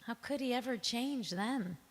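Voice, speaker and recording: A woman giving a dramatic spoken word recitation, phrasing deliberately and theatrically with pauses. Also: on the high side. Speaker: reader in a staged ensemble performance. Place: large synagogue hall, live performance. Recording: reverberant hall.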